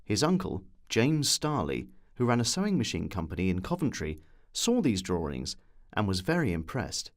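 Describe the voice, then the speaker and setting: A man reads scripted documentary narration. His pitch moves up and down a great deal as he tells the story, with a wry streak in the delivery. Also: wildly swooping pitch, normal speed.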